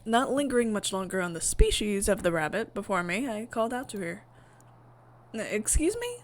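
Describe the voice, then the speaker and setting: A woman streamer reading a visual novel aloud with theatrical, expressive narration and casual asides. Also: medium pitch, fairly quick, slight background noise. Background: music.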